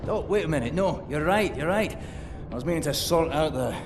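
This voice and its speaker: Actor as grumpy sarcastic handyman, fed up, slightly high male voice, noisy room sounds. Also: lively intonation, fairly quick, natural voice. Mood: irritated, sarcastic. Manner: sarcastic, exasperated. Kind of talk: video game dramatic acting. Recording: noisy ambience behind voice.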